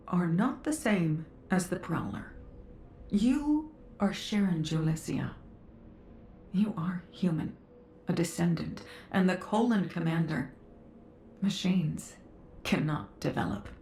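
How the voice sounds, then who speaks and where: A woman playing a composed, earnest researcher in a video game, with a measured and formal delivery. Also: on the low side, gravelly, mellow, roomy sound, a little hiss or hum, full character voice. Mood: earnest, reassuring. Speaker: voice actor. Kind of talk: dramatic game dialogue.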